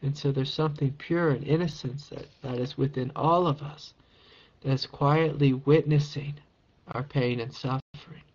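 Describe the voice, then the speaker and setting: Raspy-voiced guy teaching meditation, gentle encouraging talk, kinda muffled recording. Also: medium pitch, normal speed. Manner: gentle, calm and encouraging, with soft laughs. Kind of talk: spiritual talk answering a question.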